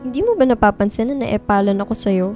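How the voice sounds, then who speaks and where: A woman's voice: a little high, big pitch swings, fast talker, dark tone. A woman playing a guarded, blunt, introverted young female student in a radio drama, speaking in a frank, dry way. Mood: blunt, defensive. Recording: music bed behind dialogue.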